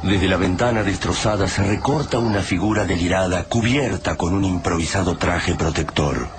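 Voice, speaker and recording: An actor narrating a science fiction radio play in a grave, scripted manner. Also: male voice, low-pitched, moderate pace, close to an everyday voice. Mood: grave, measured. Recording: loud music under the voice.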